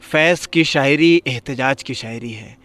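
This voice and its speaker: A man's voice: medium pitch, measured pace, fairly bright tone, no echo. A man hosting a literary programme in a formal, courteous, rhetorical way. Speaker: host.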